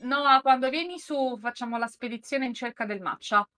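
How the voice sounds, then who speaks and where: Bright-voiced slangy woman. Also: high voice, moderate pace. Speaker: co-host of a live stream. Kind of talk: casual chat.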